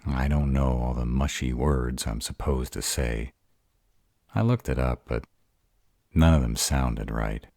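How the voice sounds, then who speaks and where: A man voicing a bashful, awkward male were-dragon in love, halting and earnest, in a romantic roleplay audio. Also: very deep voice, dark tone, dry recording, clean studio sound, exaggerated voice. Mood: nervous, earnest.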